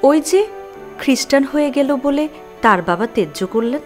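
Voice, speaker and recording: Shy young village bride, higher voice. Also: female voice, lively intonation, normal speed, soft background music. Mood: shy, teasing. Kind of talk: Bengali audio drama acting.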